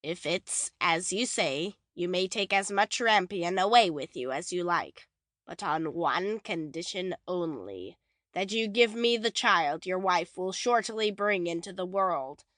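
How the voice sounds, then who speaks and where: A woman playing an imperious old witch in a fairy-tale audiobook, speaking slowly and deliberately. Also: fairly low pitch, lively intonation, a bit bright, no echo, studio quality. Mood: stern bargaining. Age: older. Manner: slow, deliberate, imperious delivery. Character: wrathful old witch, menacing and bargaining.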